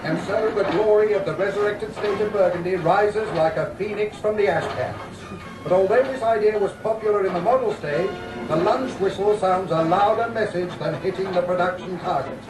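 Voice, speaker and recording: An actor playing a wry, declamatory newsreel announcer in a 1940s commentary style. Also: male voice, high-pitched, moderate pace, close to an everyday voice. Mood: grandly wry. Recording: roomy, distant newsreel sound over music.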